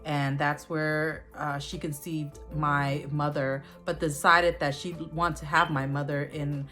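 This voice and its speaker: A woman in her forties, born in a refugee camp and raised in the U.S., tells her family's history slowly and thoughtfully, with many pauses and fillers. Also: low-pitched, little pitch movement, sharp tone, soft background music. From born in a Thai border refugee camp, raised in the U.S. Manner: thoughtful, with many ums and uhs. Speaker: guest sharing family history. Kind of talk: recorded personal testimony.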